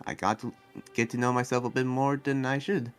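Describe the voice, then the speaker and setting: A man playing an earnest, soft-hearted demon researcher in an improvised anime roleplay, speaking in a halting, rambling way. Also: mid-range voice, level tone, clear, resonant tone, close to an everyday voice. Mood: hesitant, reflective. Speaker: roleplay player. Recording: background music under the voice.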